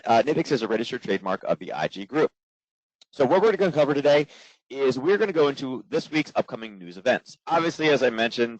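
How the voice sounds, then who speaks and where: Hoarse, fast-talking male trading instructor. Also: medium pitch, no echo. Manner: quick, filler-heavy, conversational. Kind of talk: live webinar presentation. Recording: dull, dry lo-fi webinar audio.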